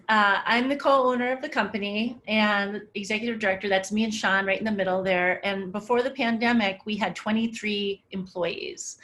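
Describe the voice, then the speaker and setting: Casting note: middle-aged woman presenting over slides, relaxed and conversational, mid-range pitch. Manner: casual, conversational, many "um" fillers. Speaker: webinar presenter.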